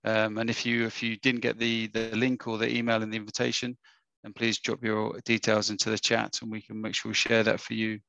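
A man with a husky, gravelly voice welcomes people to an online talk, pausing often with fillers and restarting his sentences. His tone is bright and fairly flat in pitch, and the sound is dry and close, as if on a call. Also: no echo. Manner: hesitant, many fillers and restarts. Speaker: webinar host. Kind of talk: casual webinar welcome. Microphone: webcam or headset on a video call.

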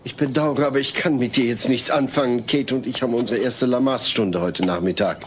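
A man's voice: medium pitch, brisk, close to an everyday voice. An actor playing an exasperated, earnest suburban father-to-be in a sitcom audio play, a little fussy. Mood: firm. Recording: noisy old cassette audio.

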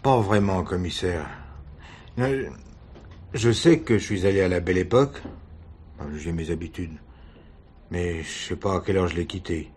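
Actor as low-voiced, bitter, weary murder suspect. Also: male voice, darkish tone, close to an everyday voice. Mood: uneasy, defensive. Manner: halting, defensive, bitter. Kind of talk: French radio crime drama acting. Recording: noisy old radio drama recording.

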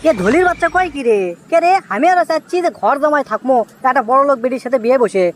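A man voicing an excitable young cartoon bachelor from a village, whiny and impatient, with a Bogura accent and a pitched-up effect. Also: fast talker. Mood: indignant complaining. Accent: Bogura, northern Bangladesh. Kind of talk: comic cartoon dialogue.

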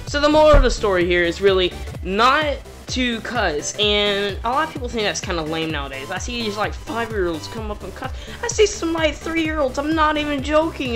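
A YouTuber telling a personal story in a casual, rambling, slangy way. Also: mid-range voice. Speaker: YouTuber narrating a personal story over gameplay.

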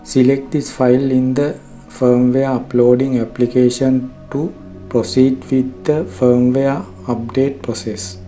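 A man narrating a scripted software tutorial in a formal, measured, step-by-step way. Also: medium pitch, flat delivery. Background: background music.

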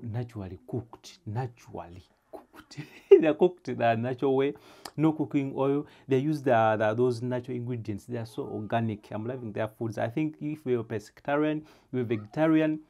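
Young man, mellow tone, mid pitch, casual vlog host, halting delivery with repeats. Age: young adult.

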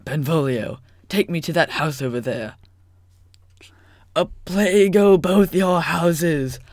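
A man's voice: fairly high pitch, slow, no room sound, clean studio sound. A man playing a cocky, quarrelsome young sock puppet with a sarcastic, theatrical manner. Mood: wounded, bitter.

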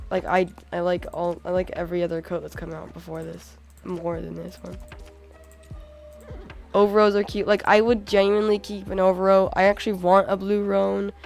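A young woman with a cold talks over her gameplay, her voice hoarse and worn. She gets excitable, with lots of "oh my god" and "like" in casual commentary. Soft game music plays faintly underneath. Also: medium pitch. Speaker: gaming channel host.

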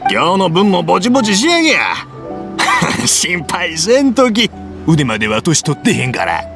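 A gravelly old fireworks-maker with a Kansai accent. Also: male voice, high voice, huge pitch swings, normal speed, exaggerated voice. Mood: jovial. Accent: Kansai Japanese. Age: older. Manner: folksy, talks past others, storytelling. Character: hard-of-hearing old fireworks-maker father, cheerful. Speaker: shopkeeper. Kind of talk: game voice acting. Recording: game audio with music behind.

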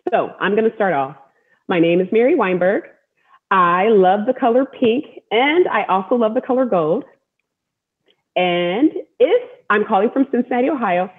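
A woman's voice: on the high side, slightly rough. American woman hosting over narrow-band video call. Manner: encouraging, repeats words for emphasis. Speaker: host, storytelling athlete. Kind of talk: welcoming talk to an online group. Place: room at home. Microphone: wireless earbud on video call.

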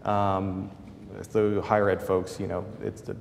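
A man in his thirties with a boomy voice, explaining his work as a panelist at a conference, with many fillers. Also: completely flat delivery, measured pace, gravelly. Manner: gestures broadly while explaining, many fillers. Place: large conference hall. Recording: hall reverb, faint room noise.

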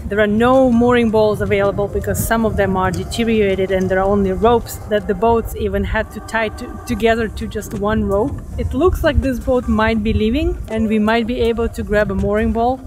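A young woman co-hosting a sailing vlog, chatting casually and often laughing while out on a catamaran at sea. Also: a little high. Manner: giggly, chatty.

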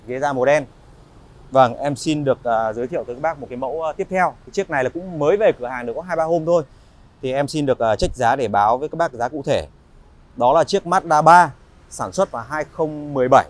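A man in his thirties walks through a big indoor car showroom, pitching used cars to viewers. He talks in a polite, chatty sales patter at a middle pitch, stopping often on little filler sounds before carrying on. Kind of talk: walk-around sales presentation.

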